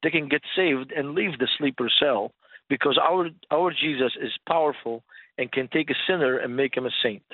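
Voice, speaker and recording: A man's voice: medium pitch, normal speed, dry recording. A man who speaks English as a second language, teaching conversationally as a radio guest and posing rhetorical questions. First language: not English. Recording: narrow, tinny phone-like line.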